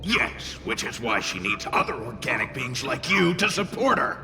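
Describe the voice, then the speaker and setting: A man voicing a small hot-tempered fire spirit in an anime game, gruff, shouty and exasperated, over music. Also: medium pitch, very animated, moderate pace. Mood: exasperated, blustering.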